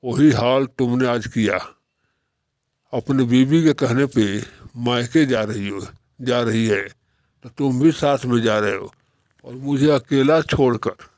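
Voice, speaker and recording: A man's voice: medium pitch, slow, a little mellow, no room sound, studio quality, natural voice. A man playing a poor old father in a moral-story cartoon, lecturing his son in a plaintive, reproachful way. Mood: hurt, reproachful.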